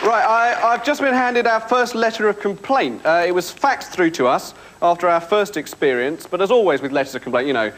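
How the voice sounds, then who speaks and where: A male comedy presenter talks in a fairly high, lively voice with a dry, wry delivery. A live studio audience and noise sit under his links. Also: a little fast, few pauses, a faint crowd. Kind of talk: topical stand-up links in a sketch show.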